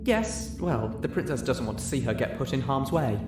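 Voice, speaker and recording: A man's voice: mid-range voice, lively intonation, moderate pace, over music, pitched above the performer's own voice, natural voice. A studious, earnest female unicorn, acted out by a man, explaining with precise authority. The voice is raised and roomy, as part of a storybook reading.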